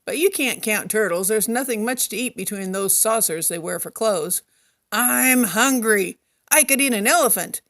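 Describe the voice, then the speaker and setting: A woman voicing a hungry, boastful male lion who talks loudly and blusters. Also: fairly high pitch, lively intonation, moderate pace. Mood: blustering. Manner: loud and blustering.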